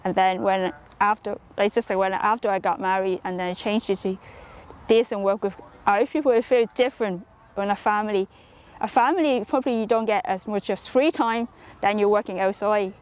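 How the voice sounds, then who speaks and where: A woman answers interview questions in a halting way, often stopping to search for words. Her voice sits at an ordinary middle pitch.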